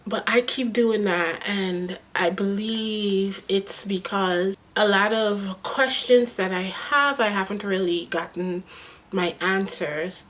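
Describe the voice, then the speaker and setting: A young woman from Guyana, talking unscripted to her vlog audience in a slow, earnest, reflective way. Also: medium pitch. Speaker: vlogger.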